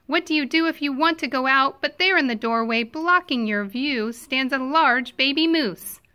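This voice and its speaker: Bright-voiced woman reading a children's storybook. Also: high voice, moderate pace. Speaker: picture book reader. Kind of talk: expressive read-aloud of a children's storybook.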